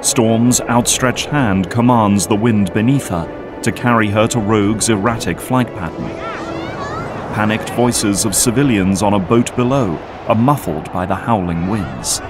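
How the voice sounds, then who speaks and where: A male narrator reads scripted audio drama prose. His voice is on the low side. Music runs clearly behind him. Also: measured pace. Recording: prominent music under narration.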